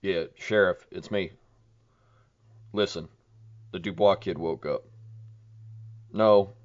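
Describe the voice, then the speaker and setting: Slow, low-voiced small-town policeman played by a man. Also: steady tone. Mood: baffled, uneasy. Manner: measured, plainspoken. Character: patient small-town police officer, gently questioning, plainspoken. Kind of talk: audio horror drama. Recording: dull lo-fi audio, faint noise.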